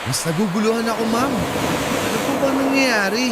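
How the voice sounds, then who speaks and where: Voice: medium pitch, lively intonation, moderate pace, very noisy, natural voice. An actor playing a friendly, polite young male motorcycle taxi rider in a dramatized audio love story, concerned and teasing. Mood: puzzled.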